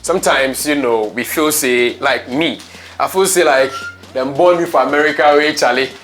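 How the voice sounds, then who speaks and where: A man's voice: medium pitch, normal speed, a bit bright, faint music behind. A man with a Ghanaian accent hosting a talk show in an informal, chatty, questioning way, slipping into Pidgin phrases.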